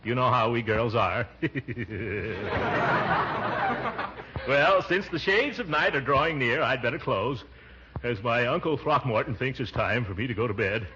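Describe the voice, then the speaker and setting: Man acting a pompous chuckling uncle, very animated delivery, 1940s radio comedy, muffled old recording. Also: mid-range voice, unhurried, background noise, lightly acted. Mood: coy, self-pleased. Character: pompous, jovial middle-aged uncle with a fussy streak.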